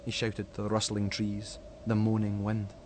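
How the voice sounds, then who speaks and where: A man's voice: fairly low pitch, monotone, normal speed, a little mellow. Scottish male storyteller over background noise. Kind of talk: dramatic scripted story narration.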